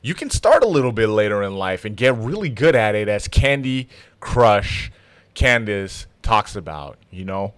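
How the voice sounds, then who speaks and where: A man's voice: fairly low pitch, sing-song, normal speed, a bit bright, smooth, no room sound. A man from Toronto hosting a podcast in a casual, conversational interview style. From Toronto, Canada.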